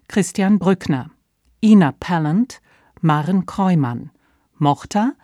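A woman announces a radio play from a script. Her voice is mellow and slow, with a neutral, measured delivery. The studio sound is very dry and close. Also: on the low side, no room sound. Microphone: close studio microphone.

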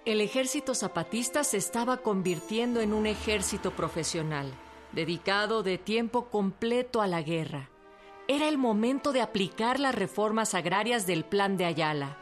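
A woman's voice: mid-range voice, expressive, moderate pace. A woman with a Mexican accent reading scripted historical narration for a radio drama. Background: music.

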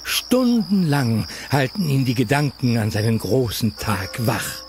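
A man acting as a wry, friendly storybook narrator in a children's audio drama, with a playful, expressive delivery. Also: medium pitch, unhurried, a little hiss or hum. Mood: wry concern.

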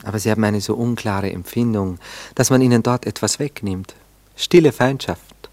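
An actor in a classic radio play playing a melancholy, ironic gentleman, wry and formally polite. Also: fairly low pitch, moderate pace, close to an everyday voice. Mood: ironic, reproachful. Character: melancholy, ironic gentleman, frivolous romantic turned mocker.

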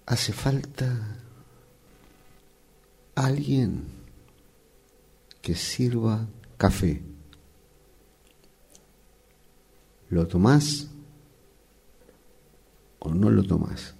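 Un actor con acento rioplatense que interpreta en un radioteatro a un jefe engreído y vengativo, de tono sardónico y condescendiente. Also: male voice, on the low side, creaky, dark tone, breathy edge, heavily acted. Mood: scornful. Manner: sardonic, deliberate, condescending. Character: smug vindictive male boss, former errand boy. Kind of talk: radio drama acting.

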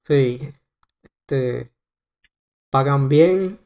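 A young man from Puerto Rico with a Puerto Rican accent, chatting casually on a podcast and filling pauses with "este". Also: mid-range voice, mellow. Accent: Puerto Rican Spanish. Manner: rambling, heavy on fillers. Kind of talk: casual chat.

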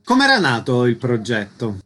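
A man's voice: medium pitch, huge pitch swings, normal speed, fairly bright tone, a little hiss or hum. A man hosting a radio programme, putting concise questions and reading out announcements. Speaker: radio interviewer, host.

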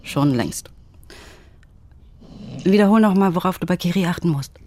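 Actress as husky, wry young woman. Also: lowish voice, normal speed, darkish tone, no room sound, close to an everyday voice. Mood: wry, relaxed. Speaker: actor in a radio play. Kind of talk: naturalistic German radio drama acting.

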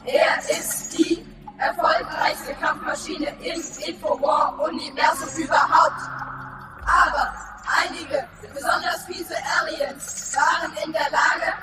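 A woman's voice: sits high, fairly even intonation, slow pace. A woman in a radio drama playing a grandiose game oracle, declaiming missions theatrically. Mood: theatrical hype. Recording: very echoey, distant, music behind.

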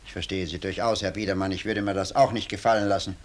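An actor playing a sly, calm intruder with falsely deferential politeness, in 1950s German radio drama style. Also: male voice, deep voice, fast, lightly acted. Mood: mock apologetic. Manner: calm, mock-polite, reproachful.

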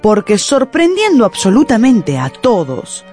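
A woman narrating a literary radio drama in an expressive, deliberate storytelling style. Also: on the high side, soft background music.

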